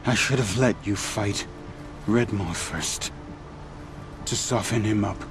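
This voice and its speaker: Deep slow dying hunter guy, weak labored breath, arrogant and bitter, game death scene. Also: over music. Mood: bitter, fading. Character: dying, arrogant, bitter male hunting champion.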